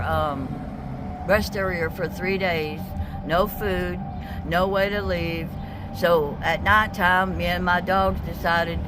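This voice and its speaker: A woman in her seventies from Texas, telling her story in a casual street interview, rambling and plainspoken with many restarts. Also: lowish voice. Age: 70s. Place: outdoors by a busy road. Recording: loud traffic noise outdoors.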